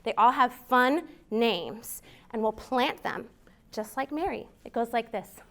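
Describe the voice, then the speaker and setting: An American woman hosting a children's storytime, reading aloud in an expressive, deliberate way for young listeners. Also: fairly high pitch, creaky. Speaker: library storytime host.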